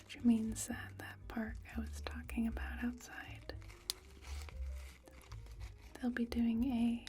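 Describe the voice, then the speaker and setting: Whispering woman, slow and gentle, music behind. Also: a little high, monotone. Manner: gentle, attentive, personal one-to-one address. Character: soft-spoken, attentive female bartender at a high-end bar. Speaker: ASMR roleplay performer as a bartender. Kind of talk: soft-spoken whispered ASMR roleplay. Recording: close whispered voice over clear music.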